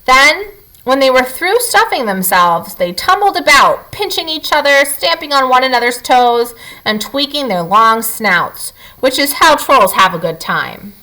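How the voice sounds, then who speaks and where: A woman teacher reading to children and guiding them through a lesson, expressive and speaking to them directly. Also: high voice, a little slow, poor audio. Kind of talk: children's read-aloud and lesson.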